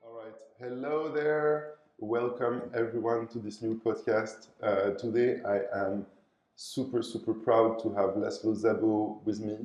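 Male podcast host, low voice, upbeat questions, roomy echo. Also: a little slow. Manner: upbeat, scripted intro then relaxed questions. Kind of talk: podcast intro and interviewing. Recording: roomy echo with faint music.

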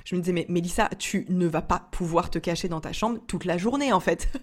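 Young woman from France, low-pitched, casual vlog storyteller, talks with many fillers. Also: a little fast. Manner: chatty, frequent fillers and restarts, laughs often. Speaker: entrepreneur vlogger.

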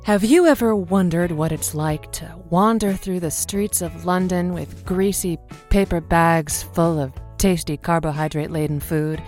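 A woman's voice: fairly low pitch, a little slow, hardly any pauses, darkish tone, soft background music, natural voice. A woman playing a blunt, sassy radio advice show host in an audio drama, with a theatrical, sardonic, wordy delivery. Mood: flirty showmanship. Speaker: call-in radio host character.

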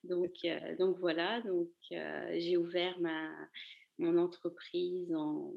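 A woman's voice: lowish voice, little pitch movement, slow pace, gravelly, narrow, tinny audio. A woman, answering casually as an interview guest in a loose, meandering way. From Paris, France. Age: 30s. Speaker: podcast guest, fashion accessories designer.

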